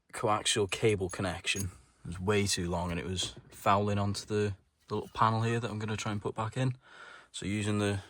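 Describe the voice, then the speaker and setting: A man's voice: lowish voice, fairly bright tone, no room sound. A man giving a casual hands-on how-to narration, informal and thinking aloud as he works like a DIY car presenter.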